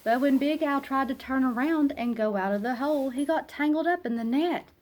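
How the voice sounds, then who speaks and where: A woman narrating a children's picture book aloud in an expressive, dramatic way, with emphatic pauses and hushed phrase endings. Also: high-pitched. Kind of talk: expressive storybook read-aloud.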